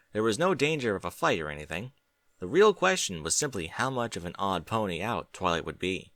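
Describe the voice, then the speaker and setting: A man reading a fan-fiction story aloud in an animated storytelling manner. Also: low voice, no echo.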